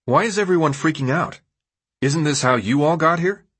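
A man's voice: a little high, normal speed, a bit bright, slightly rough, pitched above the performer's own voice. A man voicing an anxious, impatient teenage boy newcomer in an audiobook, asking urgent, demanding questions. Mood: puzzled.

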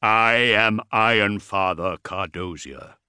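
A man voicing a huge, courteous war machine in an audiobook, intoning formally in a deep bass. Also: sharp tone, clean tone, no echo, clean studio sound, exaggerated voice. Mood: solemn, courteous. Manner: slow, solemn intoning.

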